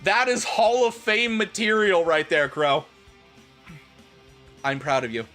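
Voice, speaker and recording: A man hosts a livestream with crude, theatrical banter. His voice is bright and forceful, swinging widely in pitch, over a bed of music. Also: animated, slow. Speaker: livestream host. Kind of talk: livestream banter and dramatic reading of fan fiction.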